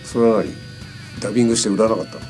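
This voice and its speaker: A man talking casually in rough, informal speech as a co-host on a studio podcast. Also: fairly low pitch, fairly even intonation, rapid-fire, darkish tone, faint music behind. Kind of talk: casual chat.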